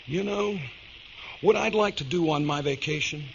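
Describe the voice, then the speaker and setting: An actor playing a war-weary scientist and husband in a radio drama, talking in a tired, grumbling, conversational way. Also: male voice, on the high side, normal speed. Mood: wistful. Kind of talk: naturalistic radio drama dialogue. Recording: dull audio with clear background hiss.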